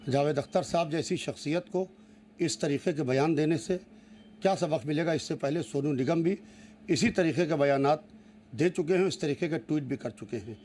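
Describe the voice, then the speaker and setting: Male cleric, emphatic statement, soft dark timbre, faint ambient noise. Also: on the high side, normal speed. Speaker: cleric interviewee. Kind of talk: spoken statement to news camera.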